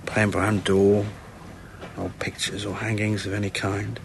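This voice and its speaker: A man's voice: lowish voice, flat delivery, unhurried, vocal fry, slight background noise. A man playing a shaken, stubborn police inspector in a radio play, tense and clipped, with a British accent. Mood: entranced. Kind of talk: radio drama acting. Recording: music under the dialogue.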